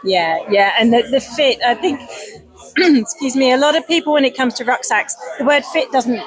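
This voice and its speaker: A woman's voice: high-pitched, expressive, moderate pace. A woman co-hosting a podcast interview, enthusiastic and full of hesitant fillers. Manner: enthusiastic with many fillers and restarts. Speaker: podcast co-host. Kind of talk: casual interview chat. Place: festival marquee.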